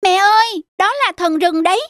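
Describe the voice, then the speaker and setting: A woman voicing a naive, polite little starling chick in an animated fable. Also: very high voice, moderate pace, no room sound, clean studio sound. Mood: confused. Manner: polite, pleading. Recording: children's music behind the voice.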